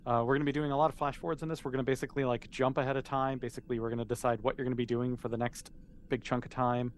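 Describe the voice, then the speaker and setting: A man's voice: medium pitch, level tone, rapid-fire. A man game mastering a tabletop role-playing game, explaining and narrating in a casual, informal way.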